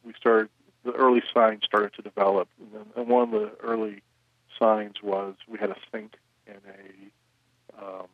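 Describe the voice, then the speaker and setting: A man who runs a record label, answering interview questions in a measured way, with frequent "you know" fillers. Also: on the low side, no echo, narrow, tinny audio.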